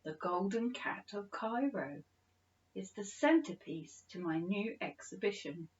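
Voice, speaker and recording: Woman voicing an excitable, absent-minded old professor, slightly muffled. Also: medium pitch, unhurried, close to an everyday voice. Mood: enthusiastic. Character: absent-minded, excitable elderly male museum professor, gentle and playful. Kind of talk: children's storybook reading.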